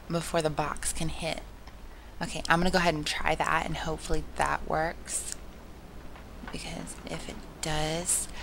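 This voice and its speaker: A young woman with a sore, hoarse throat, giving casual, chatty and self-mocking commentary on a video game, slipping into soft whispery asides. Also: low voice, slight background noise, low-quality recording. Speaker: gameplay commentator. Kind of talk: casual let's-play commentary.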